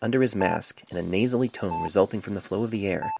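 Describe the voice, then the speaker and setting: Man, very low voice, creaky, measured dramatic story narration, amateur cell phone recording with clear noise. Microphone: cell phone microphone.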